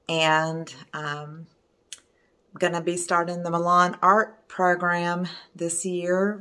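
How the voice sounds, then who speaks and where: A hoarse woman in her fifties, an artist chatting to camera in her living room in the evening and showing her pieces. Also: lowish voice, fairly bright tone. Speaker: resin artist and online teacher. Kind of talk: casual chat to camera.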